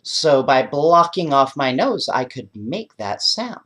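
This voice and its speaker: A man tells bedtime stories to children at a slow pace. His pitch is average for a man but moves around a great deal, and he speaks expressively, straight to his young listeners. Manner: expressive, addresses children directly with questions. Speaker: children's storyteller. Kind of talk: bedtime story read aloud and chatting to young listeners.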